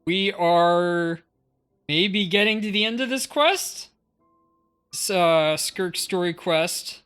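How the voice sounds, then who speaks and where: A woman's voice: fairly low pitch, takes it slowly, a bit bright, smooth, soft background music. A woman live-streaming a video game, chatting casually and informally with viewers. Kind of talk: casual chat, game commentary.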